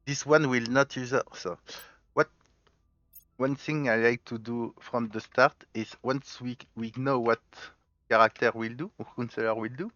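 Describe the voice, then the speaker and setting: A man's voice: medium pitch, fairly bright tone, no echo, dull audio. A man with a mixed French, Spanish and Galician accent, giving let's play commentary and explaining his choices step by step as he thinks aloud. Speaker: gaming channel host.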